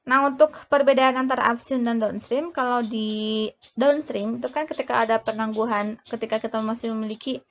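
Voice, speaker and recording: A woman speaks in a fairly high voice with noticeable creak. She teaches step by step through worked examples, haltingly, often stopping mid-word and correcting herself. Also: steady tone. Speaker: accounting lecturer. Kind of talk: instructional explanation of worked examples.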